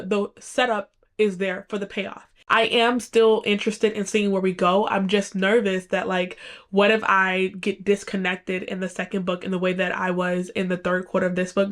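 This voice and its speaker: Chatty young woman reviewing books. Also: medium pitch. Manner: chatty, lots of "like" and "um" fillers. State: recovering from a cold, stuffy. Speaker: book vlogger. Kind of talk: casual book review chat.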